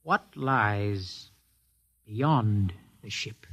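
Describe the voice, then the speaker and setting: An actor playing a bold, hot-headed rebel, forceful and insistent, in 1950s radio drama style. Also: male voice, lowish voice, expressive, extremely slow, full character voice. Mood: probing, troubled. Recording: noisy old 1950s radio recording.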